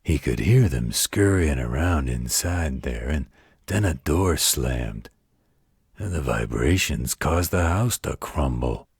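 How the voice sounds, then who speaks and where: An extremely deep, husky werewolf played by a man tells a bedtime story in an audio roleplay. He is gruff but protective and tender, taking his time with a folksy, wry delivery. The sound is close and dry. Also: lively intonation, no echo, exaggerated voice. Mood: folksy storytelling. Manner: folksy, unhurried, gently wry.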